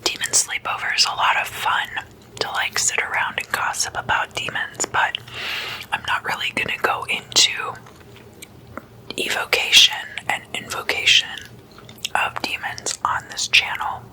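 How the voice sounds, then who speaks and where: ASMR host, fully whispered, breathy and very crisp-edged, unhurried casual explainer delivery. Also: sharp tone, low-quality recording. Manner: slow, deliberate, with drawn-out pauses.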